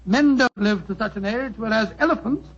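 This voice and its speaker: An actor playing a good-natured, easily astonished older English doctor in a flustered, blustering manner, in 1940s radio style. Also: male voice, high voice, normal speed. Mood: amused disbelief. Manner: blustering, flustered, repeats himself. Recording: muffled 1940s radio recording.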